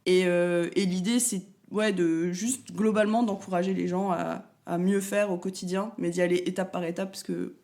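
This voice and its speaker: A young woman from Paris, a talkative guest in a casual interview who pauses often on fillers. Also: lowish voice, steady tone, darkish tone. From France, Paris. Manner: chatty, talkative, many fillers and restarts. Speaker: guest, content creator.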